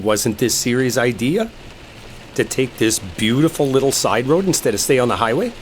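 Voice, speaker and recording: A man's voice: mid-range voice, lightly acted. A man playing an irritated, sarcastic coworker arguing as he drives, in a roleplay audio drama. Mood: irritated sarcasm. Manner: irritated, sarcastic, swearing, argumentative. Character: exasperated, sarcastic male coworker arguing while driving. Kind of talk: enemies-to-lovers roleplay audio acting. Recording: rain and car noise behind. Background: rain storm and vehicle ambience.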